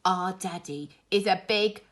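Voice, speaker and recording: A woman voicing a naughty, boastful young wolf cub with a rude, yelling storybook manner. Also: medium pitch, close to an everyday voice. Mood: defiant, boastful. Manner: rude, yelling, drawn-out taunts.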